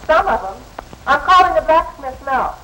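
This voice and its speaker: An actress playing a brisk, businesslike telephone operator passing on an alarm in a 1930s film. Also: female voice, sits high, animated, bright, forceful tone, a little hiss or hum, rough recording.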